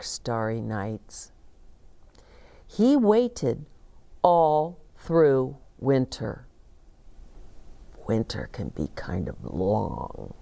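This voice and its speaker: An older woman reading a children's storybook aloud expressively, with deliberate, dramatic phrasing and pauses. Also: sits low, creaky, a little mellow. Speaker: children's story reader and host. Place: small decorated set, indoors, seated.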